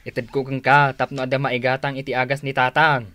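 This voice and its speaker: A man's voice: mid-range voice, flat delivery, a little fast, sharp tone, close to an everyday voice. A man with an Ilocano accent playing a generous, mild-mannered husband and son-in-law in a radio drama. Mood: helpful, calm.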